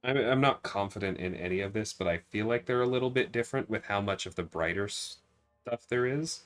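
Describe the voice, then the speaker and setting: A man with a very low-pitched voice talks over a game as a livestream host, with music playing behind him. He rambles casually, thinking out loud and addressing viewers as he goes. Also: brisk. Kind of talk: casual gaming livestream commentary.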